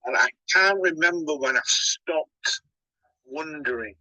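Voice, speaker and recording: A man calls in to a radio talk show with a bright, forceful voice, musing in a halting way with many restarts. The sound is dry. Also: a little high, dry recording, poor audio. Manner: reflective, halting, restarts often. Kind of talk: casual chat.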